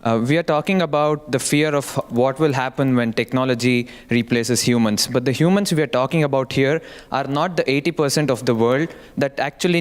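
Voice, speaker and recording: A man from India making a short, earnest conference pitch. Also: in a large hall. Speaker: speaker pitching a talk. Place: conference hall.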